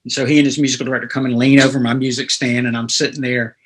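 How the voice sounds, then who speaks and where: A man's voice: medium pitch, little pitch movement, racing, breathy edge. A man in his sixties from North Carolina, a guest telling rambling anecdotes in a casual interview. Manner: rambling, anecdotal, laughs through stories. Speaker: guest guitarist.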